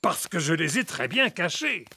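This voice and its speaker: A man's voice: a little high, sing-song, moderate pace, creaky, heavy background noise. A man voicing a hearty, playful but grumbly elderly grandfather pig in a theatrical children's cartoon dub. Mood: jolly, playful. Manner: jolly, theatrical.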